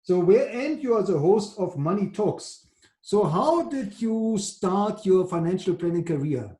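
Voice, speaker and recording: A man's voice: high voice, lively intonation, unhurried, warm tone. A man hosting an interview, asking short, polite questions. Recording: roomy echo.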